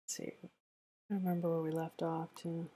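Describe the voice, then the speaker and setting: A woman's voice: deep voice, little pitch movement, normal speed, dark tone, a little hiss or hum, low-quality recording. A woman chatting casually and informally on an online group voice call. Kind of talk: casual chat on an online table read. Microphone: voice call over Discord.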